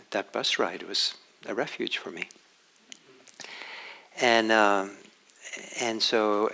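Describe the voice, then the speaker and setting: Low-voiced bright man giving a reflective dharma talk. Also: rough recording. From Norway. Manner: reflective and unhurried, with halting restarts. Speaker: meditation teacher.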